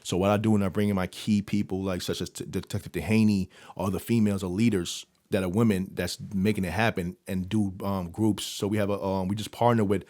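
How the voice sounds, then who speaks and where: A man born in Jamaica who mentors youth, talking in a casual interview with lots of quick restarts and repeated words. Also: sits low, fairly even intonation, darkish tone, no echo, clean studio sound.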